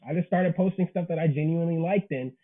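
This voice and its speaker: A man's voice: on the high side, quick pace, phone-quality sound. A man in his twenties chatting as an interview guest, earnest and slangy. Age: 20s. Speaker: interview guest, manga creator. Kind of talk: casual interview chat.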